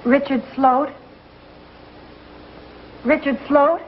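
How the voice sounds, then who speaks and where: An actress playing a composed, gentle frontier schoolteacher in a vintage television western, speaking politely and precisely. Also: female voice, high-pitched, steady tone, extremely slow, slight background noise. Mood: calm, formal. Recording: muffled old TV soundtrack, faint music.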